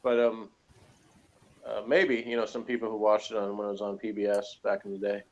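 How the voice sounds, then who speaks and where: A man's voice: fairly low pitch, little pitch movement, a little fast. A man with an American accent chatting casually and ramblingly as a panelist. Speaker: podcast panelist. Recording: dull lo-fi audio.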